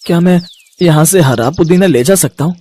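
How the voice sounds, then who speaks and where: A man's voice: fairly high pitch, a little fast, mellow, lightly acted. A man playing an earnest, troubled poor mint seller in a moral story, with a pleading manner. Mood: delighted. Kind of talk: Hindi moral story voice acting.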